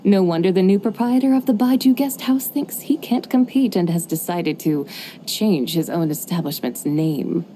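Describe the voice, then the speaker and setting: A woman's voice: lowish voice, normal speed, mellow, slight background noise, lightly acted. A woman voicing an ancient, playful female horse spirit who likes to show off, speaking in archaic, poetic phrasing with a wry, amused tone.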